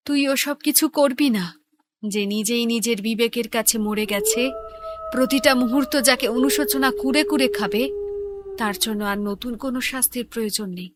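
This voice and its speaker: A woman's voice: fairly high pitch, slight background noise, close to an everyday voice. A woman voicing the sorrowful, forgiving ghost of a murdered elder sister in a horror cartoon, speaking tenderly. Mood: tender, resigned. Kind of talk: Bengali horror cartoon dialogue. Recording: loud music behind voice. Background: loud music.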